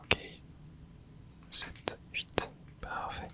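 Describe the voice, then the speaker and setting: A man whispering casual commentary as he plays a video game for an ASMR channel, dropping small interjections and explaining the game to his viewers. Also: very slow. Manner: soft whispering with little interjections while playing. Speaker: ASMR gaming channel host.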